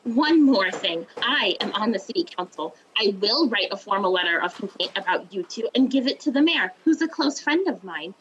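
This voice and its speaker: Woman acting a haughty bank official, indignant, swooping high-ish voice, dull sound, audio drama. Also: animated, moderate pace, few pauses, fairly bright tone, slight background noise, natural voice. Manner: haughty, emphatic, repeating words. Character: indignant, self-important bank official and councilwoman.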